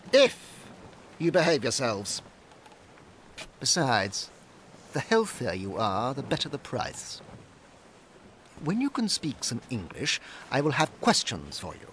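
A man acting a cold, condescending slave-trading ship captain in a radio drama, talking with slow emphasis. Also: mid-range voice, a bit bright, slight background noise, exaggerated voice.

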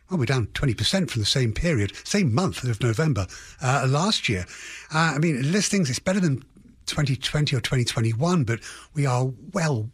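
Man, husky voice, dry close sound, expert radio interview analysis, halting and qualifying. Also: medium pitch, no room sound. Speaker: housing economist guest.

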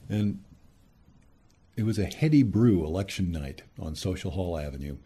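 A man's voice: low voice, expressive, normal speed, warm tone, slightly rough. An older man, a veteran radio journalist, reminiscing in an interview in short emphatic phrases.

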